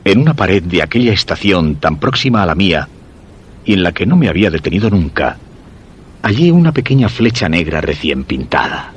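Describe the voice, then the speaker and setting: An actor from radio theatre playing an obsessed, lovelorn commuter who narrates his search in brooding, deliberate Castilian Spanish. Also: low-pitched, sing-song, background noise. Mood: anxious, obsessed. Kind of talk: dramatic first-person radio theatre narration.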